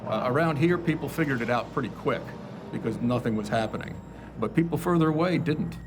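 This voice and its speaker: A man's voice: medium pitch, fairly quick. A man giving a casual recollection as a local interviewee. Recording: outdoor traffic noise behind.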